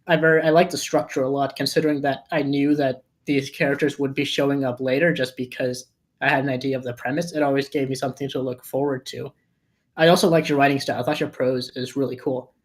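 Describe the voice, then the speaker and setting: A man's voice: medium pitch, little pitch movement, fast. A man giving casual feedback on a script in an informal, conversational way. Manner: informal, peppered with "you know". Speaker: table read participant giving script feedback.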